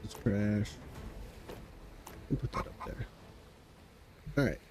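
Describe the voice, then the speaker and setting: A man's voice: on the low side, slow pace, noisy background. A man chatting casually through a game stream, sniffly from hay fever and rambling as he plays. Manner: rambling, talks to himself while playing. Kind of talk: casual gameplay commentary.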